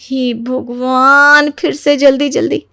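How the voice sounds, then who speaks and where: A woman voicing a young, exasperated daughter-in-law who argues back, in an animated family moral story. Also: sits high, slow pace, no echo, studio quality, heavily acted. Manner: pert, aggrieved, arguing.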